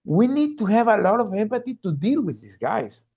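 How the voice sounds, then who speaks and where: A man's voice: high voice, big pitch swings, fairly quick, gravelly, a little mellow, husky, muffled recording. A man from Venezuela, telling his story earnestly as a keynote speaker and often restarting his words. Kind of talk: storytelling talk on a video call.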